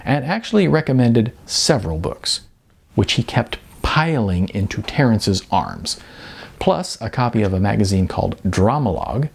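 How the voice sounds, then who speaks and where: Low, gravelly male storyteller. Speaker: narrator. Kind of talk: scripted audiobook narration of a novel.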